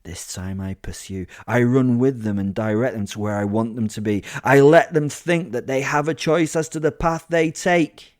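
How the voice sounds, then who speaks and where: A man's voice: mid-range voice, moderate pace, few pauses, dry recording, clean studio sound, natural voice. A man voicing a cruel, centuries-old werewolf confessing in a melodramatic monologue for a horror-comedy audio drama. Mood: righteous, grandiose. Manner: melodramatic monologue, from weak whispers to loud crescendos.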